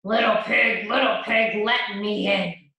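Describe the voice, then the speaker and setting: A woman voicing a sly, menacing big bad male wolf who calls out loudly and drags out his growling threats. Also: mid-range voice, fairly even intonation, gravelly, a little hiss or hum, exaggerated voice. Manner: loud drawn-out calling, growling threats. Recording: echoey room.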